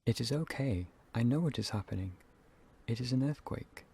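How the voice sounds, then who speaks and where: Man playing a calm, creaky young botanist. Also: medium pitch, moderate pace, a little hiss or hum. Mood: calm, explanatory. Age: young adult. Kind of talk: amateur audio drama acting.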